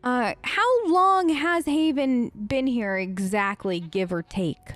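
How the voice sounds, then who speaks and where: A woman's voice: a little high, lively intonation, takes it slowly, a bit bright, no room sound. A woman improvising in character for a video game let's play, chatty and hesitant, with an awkwardly polite manner.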